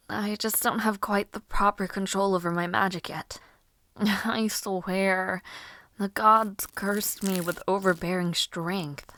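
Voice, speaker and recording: A young woman voicing a spirited young noblewoman mage, giggly and formally spoken, with soft whispered asides, for an audio roleplay. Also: medium pitch, no echo.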